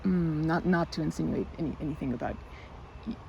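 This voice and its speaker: A woman's voice: sits low, fairly quick, vocal fry. A woman playing a timid, sweet, awkward young woman in an ASMR roleplay, stammering and hesitant, with a giggly, flustered manner. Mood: shy, teasing. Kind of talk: ASMR roleplay, improvised-sounding one-sided conversation. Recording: muffled with loud outdoor ambience noise.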